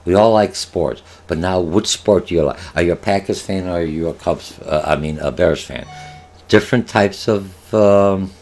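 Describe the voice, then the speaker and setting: Low-voiced older man lecturing, Polish native. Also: normal speed, darkish tone. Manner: rambling, many filler sounds and restarts, rhetorical questions. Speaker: college marketing instructor. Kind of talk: online lecture over slides. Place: home office, indoors.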